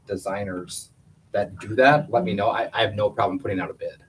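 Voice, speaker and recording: A man's voice: fairly low pitch, steady tone, fairly quick. A man giving a plainspoken, conversational report at a school board meeting in a meeting room. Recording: distant room mic with faint hiss.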